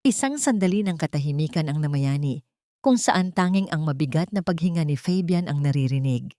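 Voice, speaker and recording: Lower-pitched woman narrating drama, dry sound. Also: lively intonation, a little fast, mellow, no room sound. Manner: solemn, literary storytelling. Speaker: audio story narrator.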